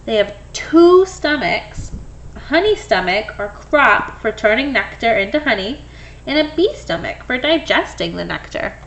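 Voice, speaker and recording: High-voiced woman reading aloud, slow and animated. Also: slight background noise, poor audio. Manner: expressive, acts out dialogue. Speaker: picture book reader. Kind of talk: animated children's read-aloud.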